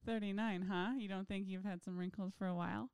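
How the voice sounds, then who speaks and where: Teasing woman in her thirties, mellow tone. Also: mid-range voice, steady tone, brisk, clean tone, no echo, studio quality. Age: 30s. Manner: teasing, wisecracking. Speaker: podcast co-host. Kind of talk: casual chat.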